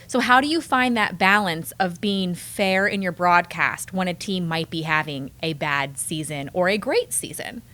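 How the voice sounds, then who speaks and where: An American woman hosting a podcast, setting up interview questions in a measured, conversational way. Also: fairly low pitch, a bit bright. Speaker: podcast host.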